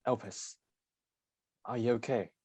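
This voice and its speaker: Woman as a very low-voiced loyal young man. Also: steady tone, unhurried, mellow, slightly rough, dry recording, heavily acted. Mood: tender, quiet. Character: protective, loyal young man, heroine's partner. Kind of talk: reader's theatre acting.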